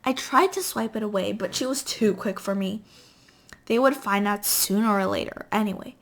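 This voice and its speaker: A woman reading a novel aloud as an amateur, halting at times as she stumbles over words and corrects herself. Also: mid-range voice. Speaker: amateur reader.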